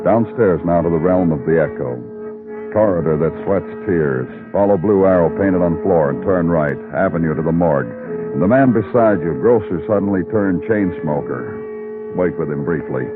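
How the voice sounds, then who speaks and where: Very deep-voiced brooding noir detective. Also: male voice, animated, moderate pace. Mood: brooding, poetic. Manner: terse questions, moody poetic narration. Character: weary, brooding New York police detective and noir narrator. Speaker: lead radio actor. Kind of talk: 1950s radio noir acting. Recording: muffled old radio broadcast, music behind.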